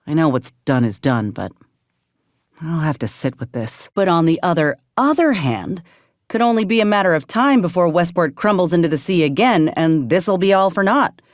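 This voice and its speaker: An actress plays a plainspoken frontier woman, a game companion who is loyal yet wounded and guarded. Her voice sits on the low side and moves expressively, with a folksy drawl. She talks bluntly, in a close, dry studio sound. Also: expressive, normal speed, no echo, lightly acted. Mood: regretful. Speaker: game character voice actor. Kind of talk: video game dialogue acting.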